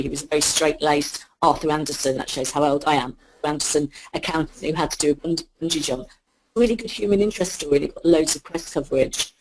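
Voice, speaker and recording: A woman with a croaky voice, giving a quick, chatty webinar talk on PR. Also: deep voice, darkish tone, a little hiss or hum, low-quality recording. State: croaky, sore throat. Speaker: PR guest speaker. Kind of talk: webinar presentation.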